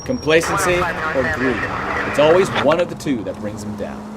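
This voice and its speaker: An actor playing a cynical, plain-spoken American police detective in a video game, matter-of-fact and jaded. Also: male voice, fairly high pitch, lively intonation, normal speed, close to an everyday voice. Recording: noisy car and street ambience.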